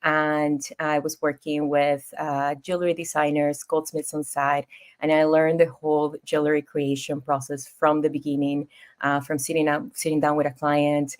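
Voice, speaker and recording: A woman in her thirties from Ecuador with a Spanish accent, telling her story as a podcast guest in an expressive, easygoing way. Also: sits low, normal speed. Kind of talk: casual interview storytelling.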